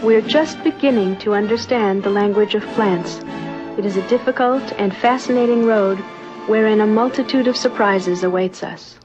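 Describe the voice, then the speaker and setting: A woman delivering scripted documentary narration in a formal, measured manner. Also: medium pitch. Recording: dull lo-fi old film soundtrack. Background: music.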